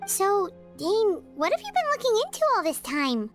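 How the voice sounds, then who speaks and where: Paimon, a squeaky chirpy fairy companion. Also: female voice, wildly swooping pitch, soft background music, heavily acted. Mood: curious. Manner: speaks of herself in third person; eager, quick to jump in. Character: tiny floating female fairy-like companion, chirpy, excitable.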